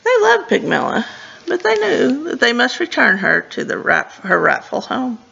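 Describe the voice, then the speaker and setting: A woman reads a children's storybook with lively, swooping pitch. Her voice is bright and heavily creaky, and she drops in chatty asides about the pictures. The recording is a little dull and lo-fi. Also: normal speed, slight background noise. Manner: expressive, stumbling over words and commenting as she goes. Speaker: librarian reading a storybook to children. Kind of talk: read-aloud storytime with casual asides on the pictures.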